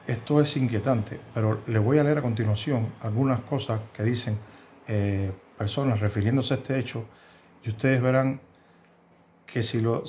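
A man in his fifties from Cuba with a Cuban Spanish accent, giving earnest, indignant news commentary to camera from a room at home, often pausing and restarting. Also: medium pitch, a little mellow, muffled recording, a little hiss or hum. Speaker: host of a news commentary channel. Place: home room with bookshelves, indoors.

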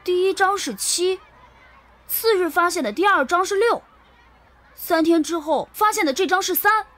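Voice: very high voice, moderate pace, sharp tone, voice pushed up. A narrator voicing an easily annoyed schoolboy in a children's audio drama, complaining in a huffy, irritated way. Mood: recounting.

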